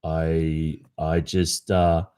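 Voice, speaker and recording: A man's voice: rumbling low, flat delivery, very slow, warm tone, smooth, full character voice. A man with an Australian accent acting a cheating, casually evasive young boyfriend in an ASMR roleplay, speaking conversationally with hesitations. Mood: sheepish, apologetic. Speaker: roleplay performer.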